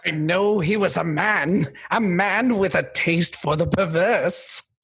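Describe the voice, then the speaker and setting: An actor playing a gloating, theatrical villain king in a radio comedy, taunting and bombastic, with a raspy, creaky voice. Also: high voice, takes it slowly, a bit bright, faint music behind, full character voice.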